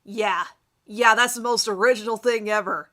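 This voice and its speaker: A woman's voice: mid-range voice, steady tone, normal speed, sharp tone. A woman casually introducing a dramatic reading of her own writing, sarcastic and self-mocking. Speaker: fan fiction author and reader.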